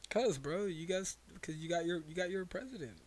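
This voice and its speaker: A man with a fairly high voice talks as a comedy podcast co-host. His style is loose and conversational, full of buddy slang. He often doubles back and repeats his own phrases. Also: animated, fairly quick. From United States. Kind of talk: casual comedic chat.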